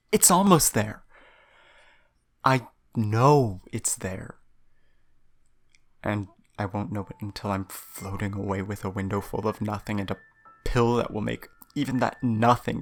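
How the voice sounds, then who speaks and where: An actor playing a troubled, hesitant space traveler facing death alone in an audio drama, haltingly searching for words. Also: mid-range voice, fairly bright tone.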